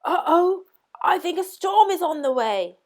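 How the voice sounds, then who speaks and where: A woman's voice: very high voice, lively intonation, measured pace, a little mellow, voice pushed up. A woman voicing a good-natured, slightly worried older father from a storybook, exclaiming and fretting a little.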